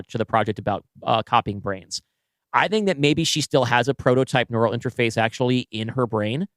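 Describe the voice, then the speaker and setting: A man co-hosting a podcast, brainstorming story ideas in a casual, halting conversation as he thinks aloud. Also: mid-range voice, fairly bright tone, no room sound. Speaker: podcast co-host and story writer.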